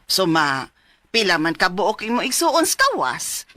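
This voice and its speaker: A nagging, exasperated middle-aged mother in a Philippine radio drama. The voice is sharp, dry and close, with a Cebuano accent and dramatic swings in pitch. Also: very animated, measured pace, dry recording. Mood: exasperated scolding. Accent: Cebuano Filipino.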